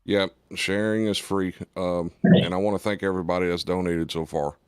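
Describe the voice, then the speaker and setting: A man with a deep voice co-hosting a casual radio show, joking in a laid-back way. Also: steady tone, moderate pace, smooth, no echo.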